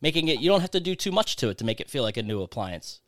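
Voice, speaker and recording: A man's voice: mid-range voice, very animated, very fast, no room sound. A man hosting a casual interview podcast, asking long, rambling questions in a conversational way.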